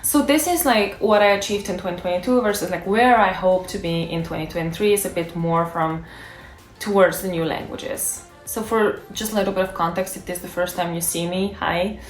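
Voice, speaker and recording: A woman's voice: fairly low pitch, a little fast, faint music behind. A young woman who is a native Bulgarian speaker, talking casually to camera as a language-learning YouTuber and thinking aloud with fillers and restarts. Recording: echoey room.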